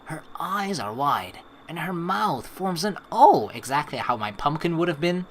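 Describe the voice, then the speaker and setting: A woman's voice: deep voice, very animated, a little slow, a little hiss or hum. A woman hosting a game playthrough, reading text aloud and commenting in a rambling, casually sweary way. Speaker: let's play host. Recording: game music behind the voice.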